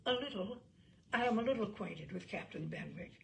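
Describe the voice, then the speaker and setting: A woman voicing a polite, gentle young gentlewoman in an audiobook, speaking in a measured, courteous and reserved way. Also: medium pitch, animated, creaky, a little hiss or hum. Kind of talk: audiobook character dialogue.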